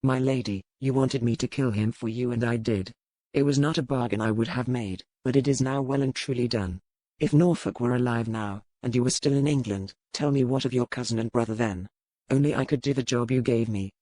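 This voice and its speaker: An actor playing a dangerous, brooding pirate earl in a romance audiobook, calm and measured, with formal old-fashioned phrasing. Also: male voice, mid-range voice, dry recording, close to an everyday voice, rough recording. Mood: firm, calm. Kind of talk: dramatized romance audiobook dialogue.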